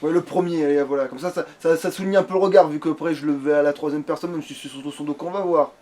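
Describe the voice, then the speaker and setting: A man's voice: a little high, steady tone, fast, mellow. A man in casual gaming chat, thinking aloud in a rambling way as a let's player.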